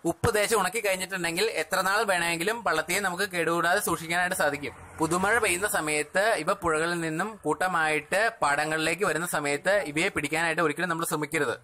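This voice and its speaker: A man's voice: on the high side, fairly even intonation, fast talker, few pauses, sharp tone. A young man from Kerala, host of a fishing channel, narrating a scripted voice-over in an informative, explanatory way. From Kerala, India.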